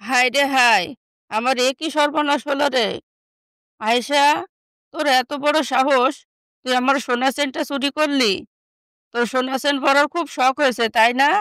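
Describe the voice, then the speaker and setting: A woman voicing a wrathful older stepmother in an animated moral story, wailing and scolding harshly. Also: high-pitched, normal speed, pauses often, clean tone, dry recording. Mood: wailing, accusing.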